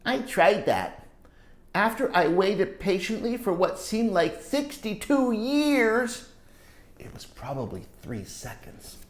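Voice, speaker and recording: A woman's voice: medium pitch, very animated, slow. A woman reading a picture book aloud to children as a storytime teacher, playful and dramatic, with chatty asides. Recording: roomy echo.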